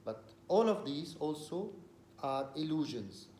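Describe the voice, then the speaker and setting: A man's voice: on the high side, sing-song, a little slow, a little mellow, roomy sound, a little hiss or hum. A man from the Levant explaining a recorded talk in casual conversation, earnest and hesitant, repeating phrases as he searches for words.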